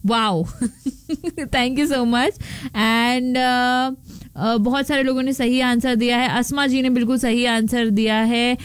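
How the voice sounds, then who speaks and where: High-pitched chatty Indian woman radio host. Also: fairly even intonation, takes it slowly, smooth, no echo. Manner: playful, chatty, code-switching into English. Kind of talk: casual chatty radio presenting. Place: radio studio.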